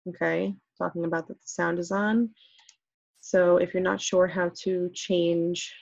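A woman with a lowish, husky, slightly gravelly voice talks a viewer through a software tutorial, chatty and step by step. The sound is dry and a little dull, like a computer mic during a screen recording. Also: dry recording. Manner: chatty, step-by-step, many okays. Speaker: teacher making tutorials.

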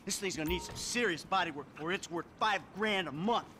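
A man's voice: sits high, quick pace, lightly acted. An actor playing a blunt, threatening middle-aged man who swears a lot, in a game cutscene. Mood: menacing. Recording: game audio with background noise.

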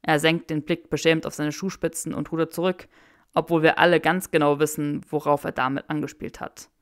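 A woman's voice: low voice, fairly even intonation, brisk, clean tone. A woman reading a fan fiction story aloud in first-person narration. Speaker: audiobook reader.

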